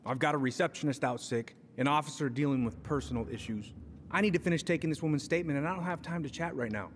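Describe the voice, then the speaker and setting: A man's voice: on the high side, quick pace, a little hiss or hum, natural voice. Curt, weary American police chief, played by a man. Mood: harried, firm. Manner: firm, curt. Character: middle-aged male police chief, stern, weary, curt. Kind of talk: video game dialogue acting.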